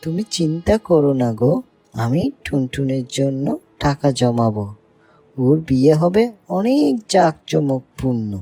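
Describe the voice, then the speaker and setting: A woman's voice: extremely low pitch, lively intonation, unhurried, dark tone, slightly rough, no echo, pitched below the performer's own voice, exaggerated voice. A woman voicing a devoted, generous male songbird, a husband and father, speaking tenderly and earnestly in a sentimental cartoon. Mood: kindly, reassuring. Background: music and birdsong.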